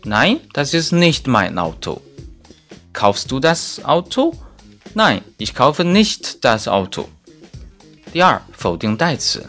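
Lively male Chinese language teacher. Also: medium pitch. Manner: didactic, conversational particles, slow careful example sentences. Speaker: German grammar teacher. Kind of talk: scripted audio lesson with casual asides.

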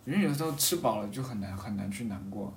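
A man in a casual, reflective conversation, halting and rephrasing his thoughts as he goes. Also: on the low side, lively intonation. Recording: roomy echo, faint background noise.

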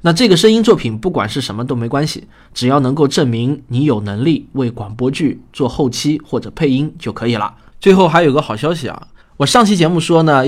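A man hosting an audio programme, addressing listeners directly in a conversational, explanatory way as he presents a scripted outro. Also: a little high. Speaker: podcast host and radio drama producer.

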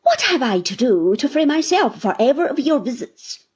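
A woman voicing an older, proud aunt who speaks in emotional, reproachful pleading, in a breathy voice. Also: mid-range voice, lively intonation, measured pace, exaggerated voice. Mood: indignant.

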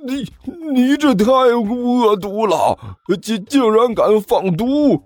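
A man's voice: extremely high pitch, expressive, no echo, faint music behind, voice pushed up, exaggerated voice. A man voicing a self-styled great demon king in a comic story, blustering and indignant, stammering and dragging out his words. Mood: outraged. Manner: heavy stammer, drawn-out shouted words.

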